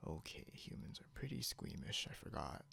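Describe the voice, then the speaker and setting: A man playing a possessive, grudgingly caring non-human captor in an intimate ASMR roleplay, gravelly and often slipping into a whisper, muttering haltingly and condescendingly, with noise behind him. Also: rumbling low, sing-song, bright, forceful tone, full character voice. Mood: hesitant, defensive. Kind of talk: ASMR roleplay, intimate second-person acting. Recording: noticeable background hiss or hum.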